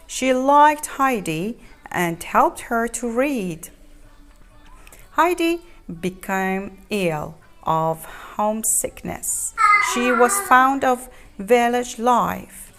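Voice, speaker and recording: A woman reads a children's story aloud, haltingly, repeating and explaining words as she goes. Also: medium pitch, fairly bright tone. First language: not English.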